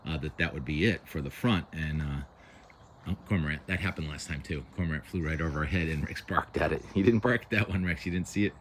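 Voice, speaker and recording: A man with a loud voice, chatting casually about the weather outdoors, rambling with lots of fillers, recorded on a camera mic with no lavalier. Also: rumbling low, a little hiss or hum. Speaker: weather vlogger. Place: outdoors by the water in the morning.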